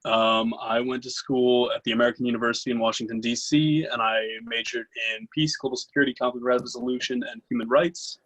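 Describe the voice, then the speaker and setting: A man's voice: medium pitch, flat delivery, a little fast, few pauses, a bit bright, low-quality recording. A young man in his twenties from Pennsylvania, a volunteer introducing himself steadily with a small stumble. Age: 20s. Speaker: service corps volunteer.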